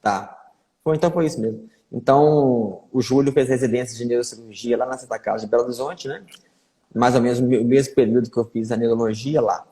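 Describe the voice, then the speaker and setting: This is a man hosting a casual live stream with a fellow doctor. His voice is mellow and unhurried, and he keeps dropping in small conversational tags. The sound carries a long, echoing reverb. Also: medium pitch, steady tone. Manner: chatty, repeats fillers like "né". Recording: echoey room with long reverb.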